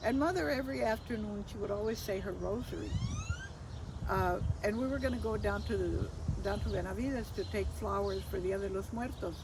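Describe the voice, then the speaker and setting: A woman's voice: medium pitch, fairly quick. An older woman telling personal stories informally, reflective and hesitant, mixing in Spanish phrases. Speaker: storyteller. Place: outdoors. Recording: distant mic, loud outdoor ambient noise.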